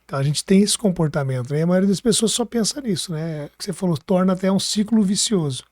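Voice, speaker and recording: A man's voice: sits high, animated, fast talker, darkish tone, dry recording, clean studio sound. A man from hosting a podcast, chatting casually, rephrasing his questions and often seeking agreement. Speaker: podcast host. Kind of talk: casual conversational interviewing.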